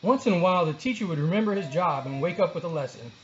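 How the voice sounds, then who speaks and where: A storyteller narrating a children's book aloud in an expressive read-along style. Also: mid-range voice, fast, lo-fi sound.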